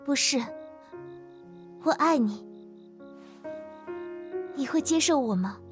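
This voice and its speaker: A woman playing a timid, lovestruck young woman in a Chinese audio drama, earnest, anguished and halting. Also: high voice, animated. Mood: nervous, pleading. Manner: hesitant, halting, emotional. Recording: music behind the voice.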